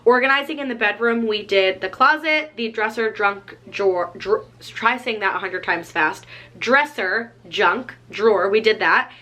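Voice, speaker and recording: A woman's voice: mid-range voice, measured pace, pushed and bright. A young woman vlogging at home, chatting casually as she walks through her things, with plenty of filler "like.". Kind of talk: casual chat, walking through items. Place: at home indoors.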